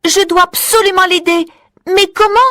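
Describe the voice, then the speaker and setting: A woman voicing a curious, anxious young girl in a storybook reading, with a high, wide-eyed, excited delivery. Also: normal speed, no echo, clean studio sound.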